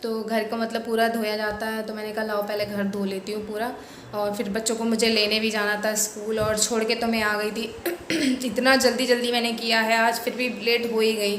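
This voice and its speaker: A woman with a hoarse voice, chatting through her home chores as a vlog voice-over and explaining each step. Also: fairly high pitch, fairly bright tone, slight background noise. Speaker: vlogger.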